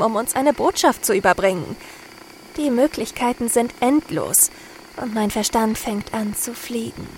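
A woman's voice: a little high, close to an everyday voice, rough recording. An actress playing a pensive, curious female soldier who watches for UFOs in a radio drama monologue. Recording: clear background noise.

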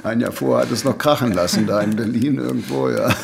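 A man in casual conversation, speaking haltingly and often ending phrases with a questioning tag. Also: mid-range voice, a crowd in the background. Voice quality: gravelly, husky.

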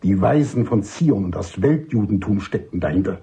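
A man playing an older army major and doctor in a radio drama, husky, emphatic and cynical, courteous but dismissive. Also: on the low side, expressive, normal speed, mellow, highs cut off, exaggerated voice. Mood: exasperated. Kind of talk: German radio drama acting.

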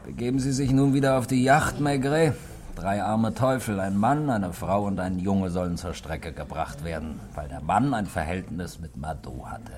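An actor in a 1960s German radio play, playing a tipsy, world-weary drinking companion who muses theatrically and swings from mutters to loud calls. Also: male voice, sits low, normal speed, close to an everyday voice. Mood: maudlin, resigned. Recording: noisy old 1960s radio recording.

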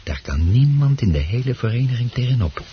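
A man's voice: mid-range voice, sing-song, fairly quick, natural voice. An actor playing a polite, eager male hobbyist in a radio play, courteous and a little hesitant. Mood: absorbed, pleased. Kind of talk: Dutch radio play acting. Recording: dull, hissy old radio play recording.

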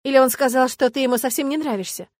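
A woman's voice: high voice, fast, no echo, voice pushed up, close to an everyday voice. A woman voicing a feisty, loyal and bossy young witch friend, indignant and emphatic, in an audiobook. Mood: militant. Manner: emphatic, combative. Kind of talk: dramatised audiobook.

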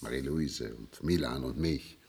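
An actor playing a fond, husky old man reminiscing in a radio play. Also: extremely low pitch, unhurried, a little mellow, full character voice. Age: older. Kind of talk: German radio play acting.

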